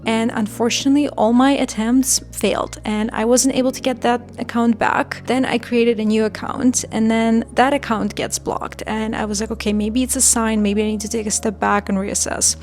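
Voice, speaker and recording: Soft-spoken woman, flat delivery. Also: on the high side. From Ukraine. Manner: soft, candid, confessional. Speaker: vlogger. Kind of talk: personal reflective storytelling.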